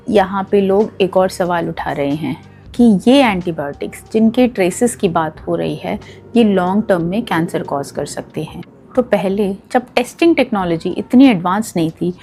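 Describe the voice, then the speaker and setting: A woman with an Indian accent hosting a health explainer, speaking in a calm, measured, explanatory way and mixing in English terms. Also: mid-range voice. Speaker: health video host.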